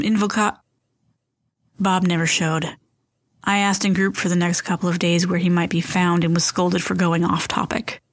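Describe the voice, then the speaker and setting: Casting: woman as wry, distrustful narrator of surreal fiction podcast, slightly low register, literary delivery, dry studio sound. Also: dry recording, natural voice. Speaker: storyteller and host of a fiction podcast. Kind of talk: scripted dramatic first-person narration.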